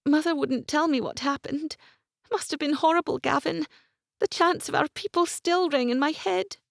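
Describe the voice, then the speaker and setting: A woman voicing an emotional young noblewoman, speaking high and pleading. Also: normal speed, creaky, dry recording, clean studio sound. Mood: distraught.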